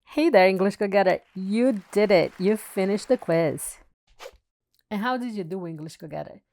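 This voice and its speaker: A woman host signs off in an upbeat, quick way, her voice mellow and soft-edged. Her pitch sits in the middle range. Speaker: channel host. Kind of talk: outro call to action.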